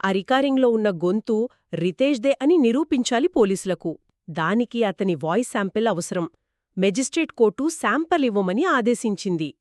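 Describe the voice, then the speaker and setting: A woman speaks as an explainer in a two-person discussion, with an assured manner. Her pitch is average for a woman but moves around in a lively way. The sound is very dry, with no room echo. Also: normal speed. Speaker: explainer co-host.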